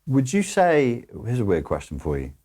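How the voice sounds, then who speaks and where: A man's voice: medium pitch, huge pitch swings, normal speed, dark tone. A man hosting a casual conversation, asking probing questions and making wry jokes. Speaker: host.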